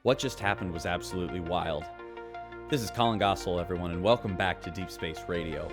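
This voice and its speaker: A man's voice: low-pitched, a little fast, lightly acted. An actor playing a male radio news reporter giving urgent live reports in an audio drama. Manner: urgent newscaster delivery. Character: upbeat male space-station radio reporter. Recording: music bed underneath.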